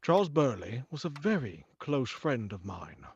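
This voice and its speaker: A man's voice: mid-range voice, very animated, a little slow, a little mellow, slightly rough, no echo, heavily acted. A man playing a stubborn, sceptical and suspicious house buyer in 1940s radio drama style, on a lo-fi recording. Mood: pointed. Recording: dull lo-fi sound with faint noise.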